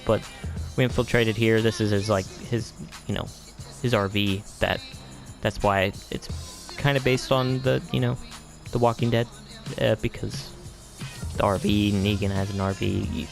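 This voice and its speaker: A man with a fairly low voice hosts a casual game tour. He talks in a loose, hesitant ramble, drifting into vague asides and leaving thoughts unfinished. Faint music plays behind him. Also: gravelly. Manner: hesitant, filler-heavy, trailing off with vague asides. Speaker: gaming video host.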